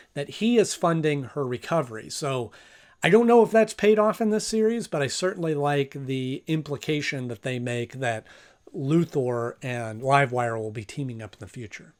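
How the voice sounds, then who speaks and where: A man's voice: medium pitch, expressive, normal speed. A man hosting a casual review, commenting in a conversational, thinking-aloud way with emphasis. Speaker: host, cartoon reviewer.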